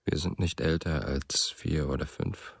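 An actor playing a brooding man recalling his past in a reflective, hushed, husky and gravelly radio drama narration. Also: a bass voice, steady tone, measured pace, no echo, studio quality, exaggerated voice.

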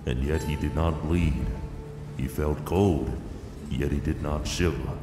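A man's voice: a bass voice, fairly even intonation, unhurried. A man narrating an audio drama as a grave, solemn storyteller of a western tale. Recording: echoey long reverb under loud music. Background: music.

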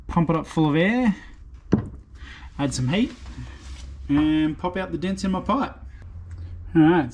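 A man casually narrating his motorbike rebuild in a relaxed, rambling way, with lots of fillers and dry self-deprecating asides. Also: on the high side, a little hiss or hum. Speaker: motorbike restoration vlogger. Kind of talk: casual workshop voice-over narration.

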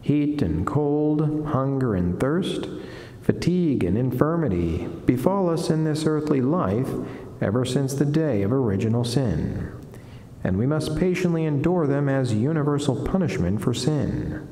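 Middle aged guy doing a solemn religious reading, mellow voice, slow careful phrasing, big chapel echo. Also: mid-range voice, lively intonation. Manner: measured, deliberate, formal. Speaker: friar reading a saint's life and reflection. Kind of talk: solemn devotional reading from a book. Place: wood-panelled chapel, indoors. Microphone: lectern gooseneck microphone. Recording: echoey chapel with long reverb.

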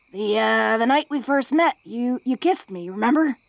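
A woman acts out a tough, smitten orc girl in a romantic audio roleplay. Her voice is fairly high with a gravelly fry, and she takes her time. She stumbles bashfully over her blunt, slangy words. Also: animated, slow, dry recording, full character voice. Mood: tender, shy. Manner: bashful, stammering, informal tough-girl diction.

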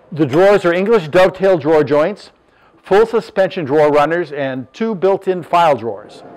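Older man presenting showroom furniture. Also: high-pitched. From Southern California. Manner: plain, matter-of-fact, lists measurements with fillers. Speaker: furniture business owner. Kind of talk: product presentation.

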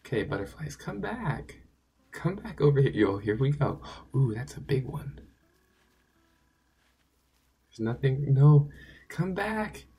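A man with a hoarse, sore-throated voice giving casual, chatty commentary while playing a video game, talking to himself and to the game. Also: medium pitch, big pitch swings, slow, pauses often, mellow. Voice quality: gravelly, raspy. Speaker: let's play gamer.

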